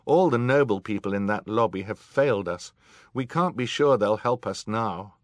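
A man's voice: fairly low pitch, moderate pace, no echo, studio quality, pitched above the performer's own voice, close to an everyday voice. A man voicing a worried, earnest boy with an urgent, plain-spoken way of talking in an audiobook. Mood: urgent, bitter. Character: earnest, worried boy, sibling of two sisters.

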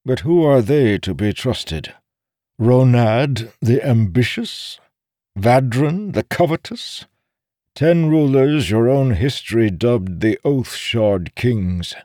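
A man playing a stubborn, self-assured male warrior in a fantasy audiobook, speaking in a grave, formal, measured way. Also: medium pitch, lively intonation, mellow, no room sound, clean studio sound, pitched above the performer's own voice.

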